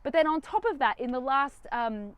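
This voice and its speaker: A young woman vlogging from inside her van, defiant and sarcastic as she talks, her words jumping up with lively emphasis. Her voice sits a little high for a woman. The recording is dry and close, with no room sound. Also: normal speed, clean tone, no echo. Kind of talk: casual direct-to-camera talk.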